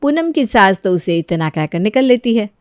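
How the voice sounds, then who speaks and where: A woman's voice: medium pitch, sing-song, moderate pace, clear, resonant tone, dry recording, studio quality. A woman telling a scripted household moral story as its narrator.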